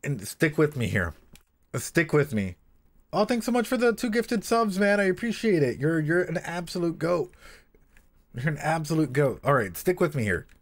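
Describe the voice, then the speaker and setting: A man's voice: fairly high pitch, very animated, moderate pace. Rambling, slangy male livestreamer. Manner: rambling, repeats phrases, slangy and sweary. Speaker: livestream host and practising therapist. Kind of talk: casual livestream reaction with read-aloud passages and analysis.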